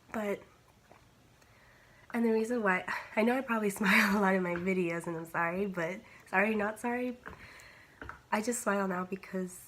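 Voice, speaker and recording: A young woman with a slightly raspy voice from a cold, talking candidly and casually in a personal vlog. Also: mid-range voice, moderate pace, pauses often, fairly bright tone. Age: young adult. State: recovering from a cold. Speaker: vlogger. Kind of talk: casual personal talk to camera. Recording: clear background noise, homemade vlog.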